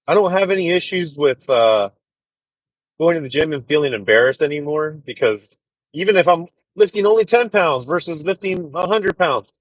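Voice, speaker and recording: A man in his thirties chatting casually with viewers on a livestream, rambling and relaxed with lots of fillers. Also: fairly high pitch, no echo, dull audio. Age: 30s. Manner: laid-back, rambling, full of fillers and "dude". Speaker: truck driver livestreamer. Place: inside a truck cab.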